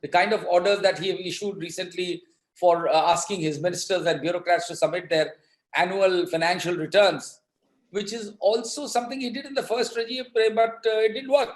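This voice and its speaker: A man with an Indian accent giving political commentary in an interview, halting but emphatic, often repeating his words. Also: high-pitched, fairly even intonation. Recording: echoing, roomy sound.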